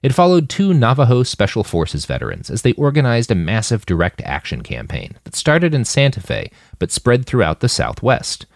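Expressive male storyteller, creaky voice. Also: medium pitch, moderate pace, few pauses, no echo, studio quality. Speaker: fiction podcast narrator. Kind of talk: scripted audiobook narration with character voices.

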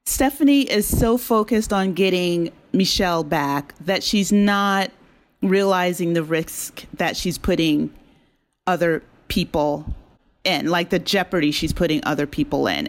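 A woman speaking as a writer in a casual interview, thoughtful and halting, often trailing off. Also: on the low side. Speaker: audio drama writer, interview guest.

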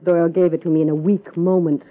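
An American actress playing a jaded, sharp-tongued ex-showgirl wife in a 1950s radio crime drama, sardonic and world-weary. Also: fairly low pitch, little pitch movement, fast, lightly acted. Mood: bitter, sardonic. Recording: thin, phone-like vintage radio recording.

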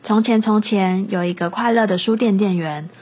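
A woman's voice: mid-range voice, normal speed, slightly rough. A woman hosting and narrating a scripted comedic radio skit in storybook style. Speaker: host and narrator, bookstore clerk. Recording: slightly muffled recording.

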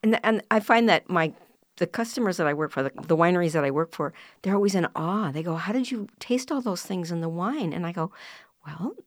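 Woman, wine writer, earnest, lists examples, lowish voice, dry close sound. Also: lively intonation, fairly quick, no echo. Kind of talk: casual podcast chat.

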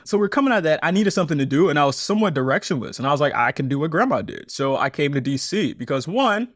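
A man in his thirties, a podcast guest, telling his story in a conversational interview with quick laughs. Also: on the high side, expressive, fairly bright tone, dry recording, studio quality.

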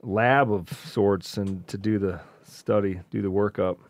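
A man's voice: on the low side, fairly even intonation, a little slow, no room sound. A man co-hosting a casual podcast, making brief joking remarks. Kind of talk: casual chat.

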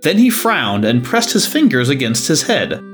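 Animated male story narrator over faint music. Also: medium pitch, moderate pace.

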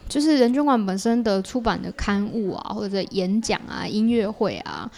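A woman speaks with a Taiwanese Mandarin accent in a medium-pitched voice. She sounds like a guest artist in a casual conversation, explaining things step by step. Her phrasing is halting, and she often rewords as she goes. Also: big pitch swings, gravelly, a little mellow. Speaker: podcast guest, artist and creator of an audio drama.

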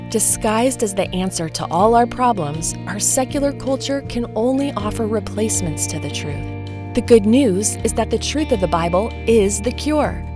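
Female podcast announcer over music. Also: mid-range voice. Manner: smooth read promotional delivery. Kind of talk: scripted announcement. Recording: music bed behind voice.

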